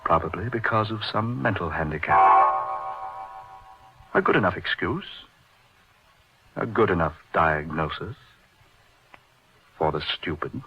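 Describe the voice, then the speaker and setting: A dull, lo-fi old radio horror recording with music behind it. an intense, brooding middle-aged surgeon with a lowish voice, theatrical and deliberate, repeating phrases for suspense. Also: male voice, expressive. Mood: grave, reminiscing. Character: intense, brooding surgeon recalling his uncanny late friend. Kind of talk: old-time radio horror drama acting.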